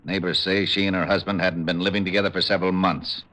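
An actor playing a sarcastic, put-upon homicide lieutenant, grumbling in 1950s radio drama style. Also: male voice, low voice, little pitch movement, quick pace, bright, forceful tone. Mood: matter-of-fact. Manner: sardonic, grumbling. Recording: old radio broadcast recording.

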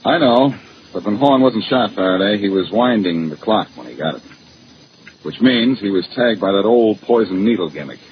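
An actor playing a cocky, wisecracking amateur detective in a 1940s radio drama, glib and sarcastic. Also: male voice, lowish voice, expressive, dull audio, lightly acted. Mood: brisk, suspicious. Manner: glib, sarcastic, quick with quips. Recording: noisy, band-limited old radio recording.

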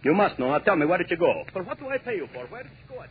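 An actor playing a jealous, commanding conductor with a cold, sarcastic edge in an old radio drama. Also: male voice, high voice, big pitch swings, very fast, slight background noise. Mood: furious. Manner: cold sarcasm, barking demands. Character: jealous, imperious male orchestra conductor. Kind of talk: 1930s radio drama acting. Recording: tinny old radio broadcast.

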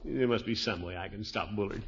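An actor playing a pompous but soft-hearted middle-aged uncle who blusters and frets theatrically in a 1950s radio comedy. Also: male voice, lowish voice, a little fast, close to an everyday voice. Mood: worried. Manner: theatrical, blustering, with mutters and exclamations. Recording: muffled, noisy vintage 1950s radio recording.

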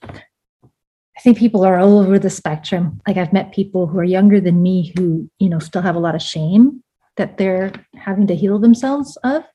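A woman's voice: mid-range voice, normal speed, warm tone, breathy edge, rough recording. A woman from the United States, born in Vietnam, speaking as an interview guest in a casual, reflective and candid way, with plenty of "like" in her sentences. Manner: candid, informal, peppered with "like".

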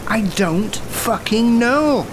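An actor playing a guilt-ridden brother who sounds exasperated and remorseful. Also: male voice, very high voice, animated, slow pace, heavily acted. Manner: exasperated, then hushed and remorseful. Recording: noisy storm backdrop.

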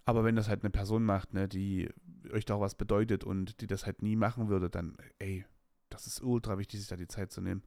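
A man's voice: fairly low pitch, little pitch movement, a little fast, warm tone, no echo. Late 20s guy rambling on his podcast, slangy and candid, dry close sound. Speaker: podcast host. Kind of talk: casual personal monologue.

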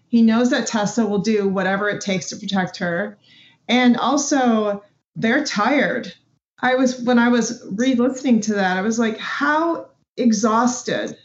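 A woman's voice: fairly high pitch, measured pace. A woman chatting casually as a participant in a panel discussion. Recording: echoey room, dull lo-fi sound.

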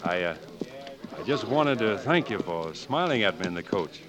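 An actor playing a shy, courteous young American cowboy outlaw in a 1940s radio western, speaking haltingly. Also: male voice, on the low side, very animated, crowd noise. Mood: bashful, courteous. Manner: halting, soft-spoken courtesy. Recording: hissy vintage 1940s radio recording.

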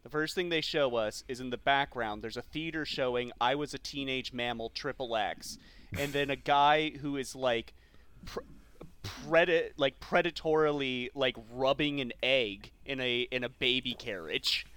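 A man's voice: medium pitch, normal speed, sharp tone, clean tone. A man co-hosting a podcast, chatting casually and informally about movies. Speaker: podcast co-host.

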